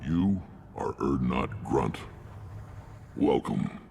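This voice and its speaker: Hugely gravelly alien warlord. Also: male voice, animated, drawn-out words, dark tone, heavily acted. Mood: commanding, wry. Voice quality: deep gravelly rumble. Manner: commanding, weighty. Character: huge gruff alien warlord, commanding and wry. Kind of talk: video game dialogue.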